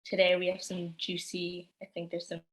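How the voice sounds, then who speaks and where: A woman's voice: lowish voice, monotone, moderate pace, noisy background. A young woman hosting a casual podcast interview, encouraging her guest with frequent "mm"s and "um"s. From born in England, raised in Canada. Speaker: podcast host.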